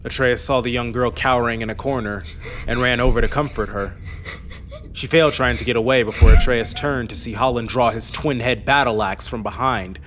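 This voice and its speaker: A man's voice: medium pitch, fairly even intonation, brisk, a bit bright, a little hiss or hum. A man reading scripted fantasy narration as an audiobook narrator. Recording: faint music under the voice.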